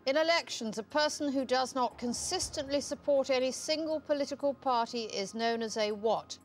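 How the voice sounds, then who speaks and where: A woman runs a studio quiz with clipped, mocking delivery. Her voice sits a little high, and soft music plays faintly underneath. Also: moderate pace. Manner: curt, cutting, mocking. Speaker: quiz show host. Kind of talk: scripted quiz hosting. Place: television studio.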